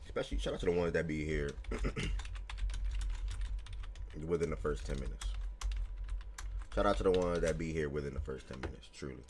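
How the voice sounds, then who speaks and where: Deep-voiced man hosting a casual live sports show. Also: moderate pace, long pauses, a little hiss or hum. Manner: chatty, greets chat viewers by name, relaxed and rambling. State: slightly hoarse from yelling the day before.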